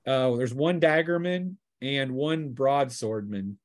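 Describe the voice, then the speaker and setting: A man's voice: medium pitch, slow pace. A man narrating a tabletop role-playing game as game master in a casual, conversational way.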